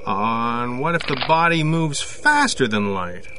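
An actor playing an awkward, earnest photographer in an audio drama, speaking in halting, hesitant phrases. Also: male voice, medium pitch, animated, background noise, natural voice. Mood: curious. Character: awkward, earnest freelance photographer confiding a strange story.

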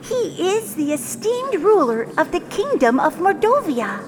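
A woman's voice: very high-pitched, big pitch swings, unhurried, crowd noise, exaggerated voice. A woman playing a prim and proper fairy in a fairytale audio drama, with formal, precise, fussy speech. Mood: refined admiration. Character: prim, proper female fairy with lofty vocabulary. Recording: music behind the voice.

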